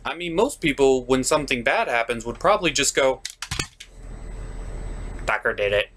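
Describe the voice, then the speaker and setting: Animated man hosting a comedic review. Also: medium pitch, fairly quick, slight background noise. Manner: theatrical, swings from dry recap to gushing. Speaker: reviewer, show host. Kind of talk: scripted comedic cartoon episode review, puppet show.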